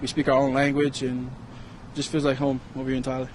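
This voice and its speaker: A man, a college football player, answering interview questions in plain, halting speech. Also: mid-range voice, completely flat delivery, poor audio. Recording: outdoor traffic noise.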